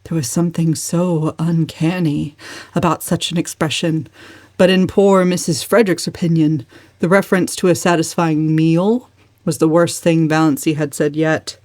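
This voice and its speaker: A woman's voice: low-pitched, flat delivery, moderate pace, a little mellow, no echo. A woman narrating a novel as a dramatic, scripted literary reading. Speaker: audiobook narrator.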